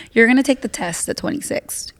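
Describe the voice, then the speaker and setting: A woman hosting a podcast in casual chat, her voice a bit raspy, laughing often and sometimes switching into Spanish. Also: fairly high pitch, lively intonation, normal speed. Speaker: podcast host.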